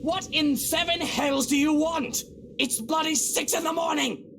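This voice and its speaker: High-pitched, snappish British gentleman. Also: fairly even intonation, measured pace, slight background noise, full character voice. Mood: angry, indignant. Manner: haughty, snappish, emphatic. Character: irritable, haughty wealthy British husband. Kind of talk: video game voice acting. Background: loud game music.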